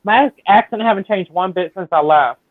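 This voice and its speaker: A woman's voice: medium pitch, normal speed, no room sound. A woman with a South Carolina and Syracuse accent, chatting casually in a group call, repeating herself and trailing off mid-thought. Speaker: guest on a group chat. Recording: tinny phone-line sound.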